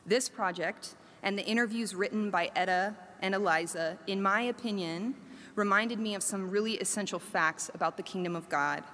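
A woman's voice: mid-range voice, fairly bright tone. Earnest woman speaking in a reverberant space. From Korea. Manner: earnest, measured, with emphatic lists. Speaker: professor. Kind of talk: reflective prepared talk.

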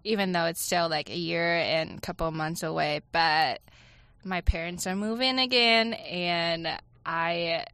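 Soft-spoken young woman, lowish voice. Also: measured pace, clean tone, no echo. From China. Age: young adult. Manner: chatty, many "like" fillers, soft-spoken. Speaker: student guest. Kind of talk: casual interview answers.

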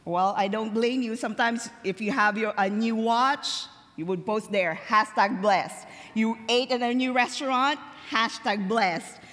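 A young woman from the Philippines, giving a personal testimony as a chapel speaker, chatty and slowing down for emphasis. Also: fairly high pitch, a bit bright. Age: young adult. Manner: conversational, laughs easily, slows for emphasis. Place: large chapel. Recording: long hall reverb.

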